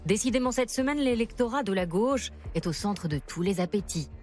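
A woman reporter narrating a scripted television news segment in a measured way. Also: mid-range voice. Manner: measured, even report delivery. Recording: faint music underneath.

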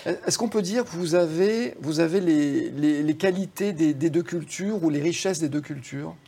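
A TV show host leading a relaxed interview, chatty, prompting the guest with quick interjections and suggestions. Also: medium pitch, vocal fry, slightly rough. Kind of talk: conversational interview hosting. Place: TV studio.

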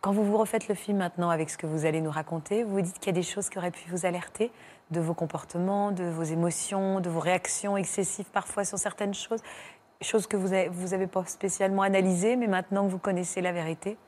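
Talk show hostess, low voice, gentle questions, soft murmured assents. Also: female voice, fairly even intonation, fast talker, slight background noise. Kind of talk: empathetic interviewing. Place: television studio.

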